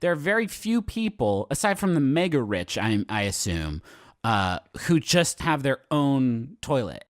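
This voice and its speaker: A man's voice: mid-range voice, sing-song, measured pace, no echo, studio quality. A man bantering as a comedy podcast host, with an insistent, emphatic way of making his point. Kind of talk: animated comic banter.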